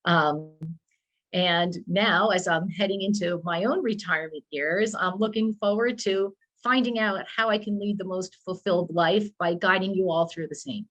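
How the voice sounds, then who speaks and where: A woman in her fifties, a wellness coordinator, introducing herself in a steady, plainspoken way on a video call from a small office. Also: on the low side, moderate pace, dull audio.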